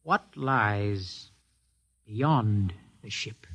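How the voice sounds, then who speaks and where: A man's voice: lowish voice, expressive, very slow, heavily acted. Defiant young man, wide-swinging voice. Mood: probing. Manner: forceful, questioning, commanding. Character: impetuous, defiant young male heretic, hot-tempered. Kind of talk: 1950s radio drama acting. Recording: noisy old radio drama recording.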